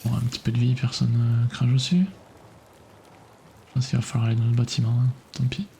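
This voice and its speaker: A man's voice: medium pitch, level tone, moderate pace, vocal fry, dark tone, slight background noise. A man streaming a video game live, muttering to himself and swearing often as he plays. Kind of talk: live gameplay commentary.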